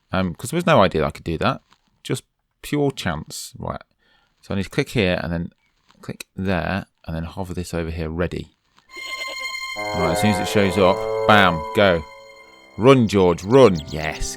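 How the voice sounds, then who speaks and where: A man from the UK commenting casually over a game, chatty and urging his character on, while losing his voice. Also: sits low. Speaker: let's play host.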